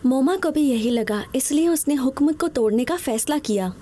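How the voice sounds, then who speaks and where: A woman's voice: sits high, animated, normal speed, warm tone. A woman dubbing a brave, stubborn, kind young royal girl in a Hindi cartoon, speaking earnestly and emphatically. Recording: music behind the voice.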